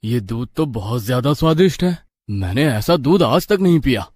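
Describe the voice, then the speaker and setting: A man's voice: medium pitch, sing-song, normal speed, darkish tone. A man playing a bewitched, irritable grown son and husband in a family horror story. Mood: delighted.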